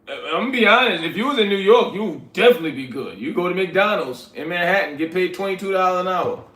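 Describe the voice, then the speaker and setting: A man's voice: high-pitched, expressive, fast, bright, forceful tone. A man hosting a casual livestream, chatting to viewers in a laid-back, slangy way and calling them "y'all". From Liberia. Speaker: livestream host reading chat. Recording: roomy echoey sound.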